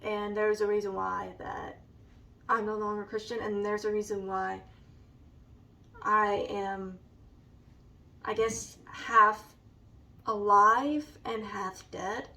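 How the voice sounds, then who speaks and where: A woman with a raspy, allergy-hoarse voice, slowly and hesitantly showing a scrapbook in a casual vlog. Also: medium pitch, little pitch movement, pushed and bright, far from the mic. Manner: slow and hesitant, many ums. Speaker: vlogger. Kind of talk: casual show-and-tell vlog.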